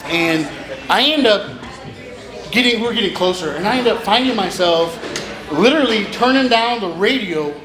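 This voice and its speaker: A high-voiced man in his thirties tells jokes on stage in a rambling, self-deprecating way. The room echoes around him and a crowd chatters behind. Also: expressive, moderate pace. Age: 30s. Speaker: stand-up comedian. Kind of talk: stand-up comedy, casual joke telling. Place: small live comedy venue, through speakers. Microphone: stage microphone with PA.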